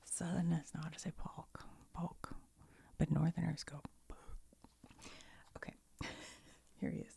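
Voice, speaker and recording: A woman whispering softly, mostly unvoiced, while she sorts and reads cards with chatty, giggly asides. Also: deep voice, little pitch movement, fairly quick, gravelly, breathy edge. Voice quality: soft whisper, often unvoiced. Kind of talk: whispered ASMR card sorting.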